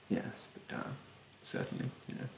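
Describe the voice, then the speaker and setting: A young man playing a rude, snobbish, fastidious facial therapist in a soft, half-whispered ASMR roleplay, haughtily polite and hesitant. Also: reverberant, slight background noise, close to an everyday voice. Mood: snide politeness. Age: young adult. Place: bedroom, indoors. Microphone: close mic in front.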